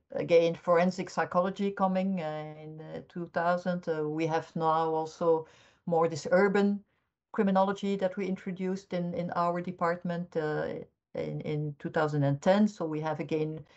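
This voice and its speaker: Older woman professor, lowish voice. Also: a little mellow. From Belgium. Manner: measured, explanatory, frequent restarts. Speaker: criminology professor, guest. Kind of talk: academic interview answers. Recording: dull lo-fi audio.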